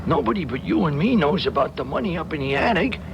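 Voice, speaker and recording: High-pitched, creaky, jittery crook's sidekick. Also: male voice, very animated, quick pace, dull audio, over music. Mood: nervous. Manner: stammering, nervous, folksy. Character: jittery, dim male crook's sidekick, easily panicked. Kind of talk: 1970s radio drama acting. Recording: noisy old radio drama broadcast.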